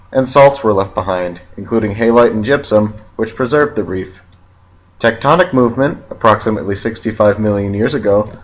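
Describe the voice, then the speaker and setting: A man narrating an educational film from a script in a measured, factual, read-aloud way. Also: mid-range voice, poor audio.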